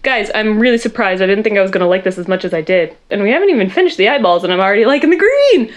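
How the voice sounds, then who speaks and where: Lively, chatty young woman vlogger. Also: medium pitch, very fast. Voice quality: loud voice. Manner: chatty, rambling, self-deprecating, talks to viewers while working. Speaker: makeup vlogger. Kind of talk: chatty makeup tutorial. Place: her bedroom at home.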